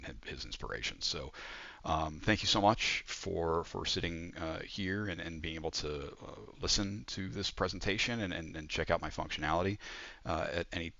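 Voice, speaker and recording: A man giving an informal software demo as a student presenter, with a somewhat hesitant, halting delivery. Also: rumbling low. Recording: muffled, echoey room recording.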